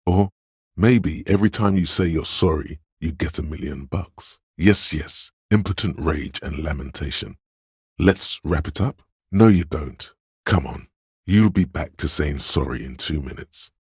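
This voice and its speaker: An actor voicing a sly, coaxing inner voice of a detective's mind in a video game, deliberate and theatrical, with a gravelly, husky tone. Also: male voice, very deep voice, a little mellow, no room sound, clean studio sound, heavily acted. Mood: mocking, sardonic. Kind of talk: scripted game voice-over narration.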